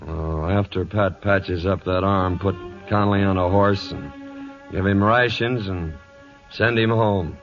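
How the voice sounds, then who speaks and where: Stern low-voiced cattle boss. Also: male voice, unhurried, loud music behind the voice. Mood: hard resolve. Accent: American. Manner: stern, clipped, authoritative. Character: hard, commanding middle-aged cattle boss. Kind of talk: 1940s radio western acting. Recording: dull old 1940s radio broadcast.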